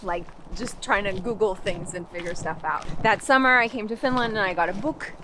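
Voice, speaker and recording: A young woman from Canada chats as she walks through a park, trading quick thoughts on language coaching. Her voice sits at a medium pitch and is easygoing, with plenty of "like" fillers and small restarts. Faint street and park noise hums behind her. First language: English. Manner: chatty, many "like" fillers and restarts. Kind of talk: casual walking conversation. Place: outdoors in a park.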